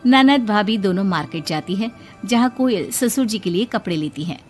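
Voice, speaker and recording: A woman's voice: medium pitch, lively intonation, moderate pace, faint music behind. A woman reading a scripted moral story as its narrator.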